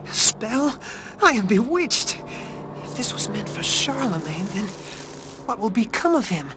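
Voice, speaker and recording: Alarmed, high-pitched young messenger. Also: male voice, big pitch swings, a little slow, noisy background. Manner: urgent, dramatic. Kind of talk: video game cutscene acting.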